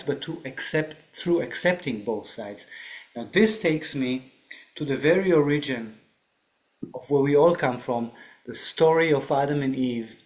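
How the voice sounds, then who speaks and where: A man talking through spiritual ideas on a podcast, reflective and explanatory, often checking in with "right?". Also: medium pitch. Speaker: podcast guest. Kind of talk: spiritual discussion.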